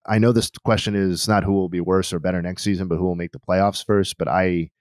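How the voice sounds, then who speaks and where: Deep-voiced man debating sports on podcast. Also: darkish tone, no room sound, clean studio sound. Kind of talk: casual sports discussion.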